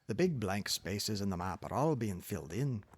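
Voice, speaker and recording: A man's voice: on the low side, very animated, normal speed, slightly rough. A man voicing a kindly, wry old newspaper editor with a Scottish accent in an audiobook story. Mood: dry, discouraging.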